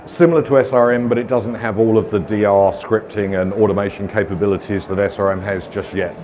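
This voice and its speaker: A man's voice: on the low side, steady tone, brisk, a little mellow, slight background noise. A middle-aged man from the UK with a British accent, giving a casual, self-deprecating technical conference talk full of ums and uhs. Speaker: conference speaker. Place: conference room with audience.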